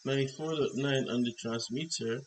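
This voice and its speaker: A man presenting an unscripted hands-on electronics demonstration, explaining step by step in halting short phrases. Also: mid-range voice, low-quality recording. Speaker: tutorial presenter. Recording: clear background noise.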